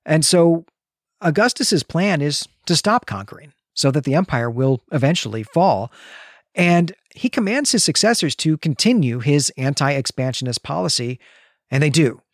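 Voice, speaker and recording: A man co-hosting a literary podcast, explaining and analysing in a measured, articulate way. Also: a little high, no echo, studio quality. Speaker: podcast host.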